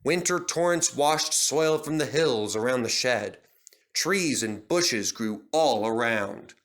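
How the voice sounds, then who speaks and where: Bright, expressive male storybook narrator. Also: mid-range voice, slow. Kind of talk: scripted children's storybook narration.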